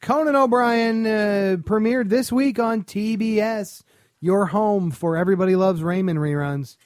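A sardonic man with a fairly high-pitched voice talks casually as a podcast co-host. He sounds opinionated and conversational, recorded very dry with no room sound. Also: unhurried, a little mellow, no echo. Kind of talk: casual chat about film and television.

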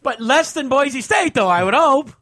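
High-pitched, exasperated American man ranting. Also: animated, moderate pace, fairly bright tone, dry recording. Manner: exasperated, emphatic, repeats himself. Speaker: podcast host. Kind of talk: casual sports rant.

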